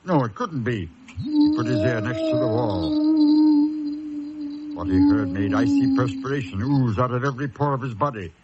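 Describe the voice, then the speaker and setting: An actor playing a genteel former teacher who tells a sinister tale in a measured, suspenseful radio style. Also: male voice, fairly high pitch, wildly swooping pitch, very noisy. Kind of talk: dramatic radio storytelling. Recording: dull lo-fi radio recording, music behind.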